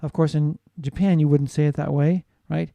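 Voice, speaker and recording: A man's voice: fairly high pitch, level tone, normal speed, gravelly, no room sound, muffled recording. A man from the United States giving a classroom lecture on phonetics, explaining steadily and often asking "right?" to check in with students. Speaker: English teacher.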